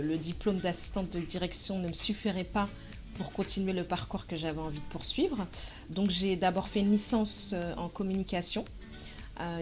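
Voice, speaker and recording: Low-voiced woman guest, hesitant answers. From France. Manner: measured, hesitant, with frequent fillers. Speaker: interview guest, association president. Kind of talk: conversational interview answers.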